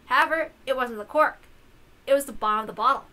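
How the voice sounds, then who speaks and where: A woman giving expressive scripted narration of a visual novel. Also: sits high, fast talker.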